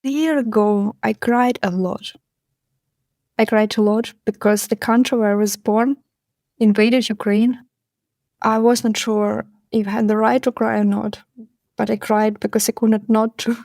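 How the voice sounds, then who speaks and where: Slow-spoken woman, second-language speaker. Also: fairly high pitch, fairly even intonation, a little mellow, dry recording. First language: not English. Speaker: caller sharing a story. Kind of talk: personal recorded anecdote.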